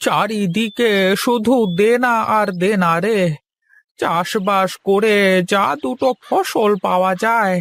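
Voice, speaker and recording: Man acting a high-pitched wailing village farmer. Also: a bit bright, exaggerated voice. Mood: despairing lament. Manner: plaintive, lamenting, drawn-out phrases. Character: despairing, debt-ridden village farmer, elder brother, prone to wailing. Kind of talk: Bengali cartoon dubbing.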